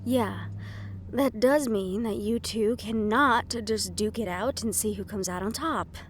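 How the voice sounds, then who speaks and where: A woman's voice: medium pitch, a little slow, slight background noise, natural voice. A woman playing a composed but anxious mafia boss's right-hand assistant in an intimate audio roleplay. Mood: firm, cautioning.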